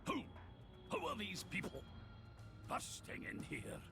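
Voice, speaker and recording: An actor playing a pompous, scheming senator who talks in a formal, blustering way in a video game scene. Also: male voice, high-pitched, wildly swooping pitch, slow pace, music in the background, full character voice. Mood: indignant. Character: pompous, scheming male senator, flustered when cornered. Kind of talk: video game cutscene acting.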